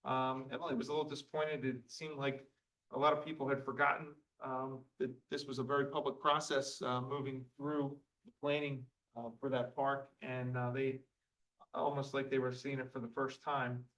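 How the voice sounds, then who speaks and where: A man giving the manager's report at a township board meeting in an even voice, with many ums and uhs. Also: mid-range voice, normal speed, far from the mic, rough recording. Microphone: table microphone.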